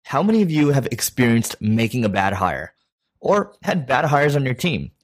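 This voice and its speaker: A man's voice: medium pitch, very animated, normal speed. A man hosting a business interview podcast, talking conversationally with small restarts. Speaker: podcast host. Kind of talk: interview hosting and sponsor read.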